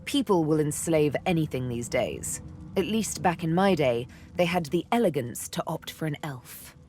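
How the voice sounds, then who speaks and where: A woman's voice: deep voice, lively intonation, normal speed, a little mellow. A woman voicing a sardonic, vengeful elf huntress for a video game, with arch, teasing, theatrical phrasing. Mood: bitter, wistful. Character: sardonic, vengeful female elf, former slave turned huntress. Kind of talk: video game character dialogue. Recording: background music under the voice.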